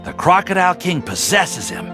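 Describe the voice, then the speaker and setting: A man's voice: high-pitched, very animated, moderate pace. A man voicing an earnest, eager and slightly sheepish young alchemist in a video game. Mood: enthusiastic. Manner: earnest, stammering when flustered. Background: faint game music.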